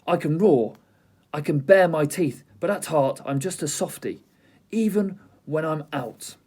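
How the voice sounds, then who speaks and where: A man's voice: a little high, unhurried, darkish tone, breathy edge. A man reading aloud and voicing a huge, cowardly male lion who whines and pleads, in a storybook reading. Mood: whiny. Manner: whiny and pleading.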